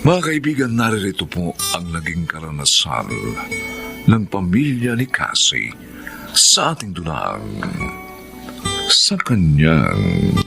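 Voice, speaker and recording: A man's voice: low-pitched, huge pitch swings, slow, gravelly. A man with a Filipino accent announcing and narrating a radio drama in a solemn, theatrical scripted style. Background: dramatic guitar music.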